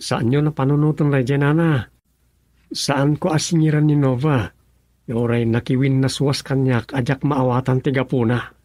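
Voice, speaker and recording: A man acts as a humble young farmer in a radio drama. His voice sits at a medium pitch, earnest and plain-spoken, with a patient, forgiving temper. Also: steady tone, moderate pace, a little mellow, close to an everyday voice. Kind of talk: Ilocano radio drama acting.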